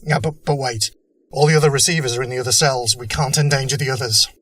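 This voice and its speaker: A man's voice: mid-range voice, steady tone, fast talker, a bit bright, close to an everyday voice. A man playing a principled, desperate but humane rebel cell leader in an audio drama, speaking seriously and earnestly. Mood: grave, earnest.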